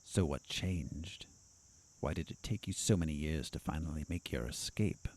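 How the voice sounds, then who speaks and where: Deep, dark-voiced haughty villain doctor played by a man. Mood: probing. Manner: disdainful, theatrical, deliberate. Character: haughty, vengeful male doctor, a sinister villain. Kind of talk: audio drama acting.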